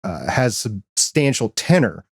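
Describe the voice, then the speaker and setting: A man hosting a podcast interview in a casual, measured way, with a quiet voice and a solid low end, on a broadcast microphone in a home studio. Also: sing-song, gravelly, husky, no room sound. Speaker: podcast host. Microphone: dynamic broadcast microphone.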